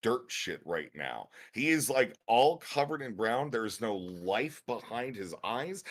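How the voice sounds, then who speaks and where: A man's voice: medium pitch, sing-song, a little slow. A man on an online panel mocking a friend with crude jokes. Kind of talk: rowdy online panel banter.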